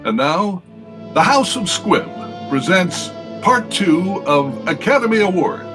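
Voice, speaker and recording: Animated male radio announcer over loud music. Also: high voice, takes it slowly. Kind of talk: formal 1940s radio sponsor announcement.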